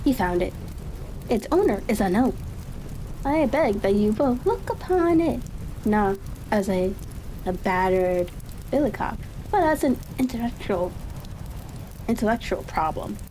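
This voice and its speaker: A woman giving a calm ASMR reading of a classic story, with halting, unhurried phrasing and a soft crackling ambience behind her. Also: medium pitch. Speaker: audiobook reader. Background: fireplace crackle and ambient noise.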